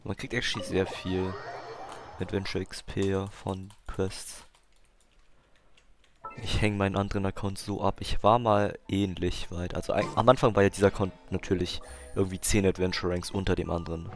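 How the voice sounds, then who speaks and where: A man's voice: deep voice, flat delivery, normal speed, slight background noise. A young man, a native German speaker, chatting casually and ramblingly over gameplay, mixing in English gaming terms. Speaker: gaming streamer.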